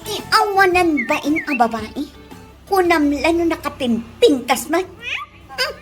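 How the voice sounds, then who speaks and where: A woman's voice: high-pitched, very animated, unhurried, over music. A woman with an Ilocano accent playing a quarrelsome, thin-skinned gossip in a comedy radio drama, whining and confrontational. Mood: indignant complaining. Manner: indignant, confrontational, whiny exclamations. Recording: noisy home-made radio drama recording.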